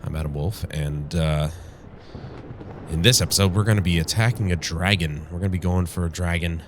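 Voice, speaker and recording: Man, low voice, congested and strained, casual let's play host, rambling, noticeable background noise. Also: a little fast. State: congested from flu, strained voice from yelling. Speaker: gaming show host.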